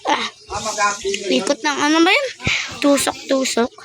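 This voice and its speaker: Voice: high voice, very animated, measured pace, a crowd in the background. A Filipino speaker doing casual game playthrough commentary, chatty and exclamatory, addressing viewers directly. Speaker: gaming vlogger.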